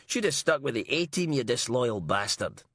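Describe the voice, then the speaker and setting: A man's voice: mid-range voice, big pitch swings, normal speed, a bit bright, no echo, clean studio sound. A man reading an audiobook and voicing a crude, sarcastic female police inspector. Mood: mocking.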